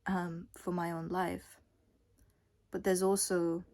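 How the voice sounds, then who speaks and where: A woman's voice: lowish voice, flat delivery, takes it slowly, a little mellow. A young adult woman vlogger giving a casual, unscripted monologue in a rambling, blunt way with casual swearing. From Scotland and Thailand.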